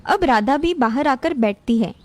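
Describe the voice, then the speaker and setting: High-pitched woman narrating a story. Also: expressive, normal speed, darkish tone, no echo. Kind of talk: scripted cartoon story narration.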